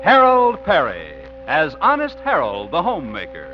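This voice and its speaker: A man's voice: fairly high pitch, huge pitch swings, moderate pace, highs cut off. A man announcing a 1950s radio show from a script, with a grand theatrical build-up. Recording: band-limited 1950 radio recording, music behind. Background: music.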